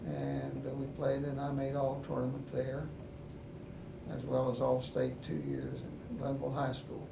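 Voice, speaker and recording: An older man with a West Virginian accent, telling his life story in an oral history interview in an unhurried, halting way with many pauses. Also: mid-range voice, completely flat delivery, vocal fry. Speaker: veteran interviewee. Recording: echoey room, mic at a distance, noisy.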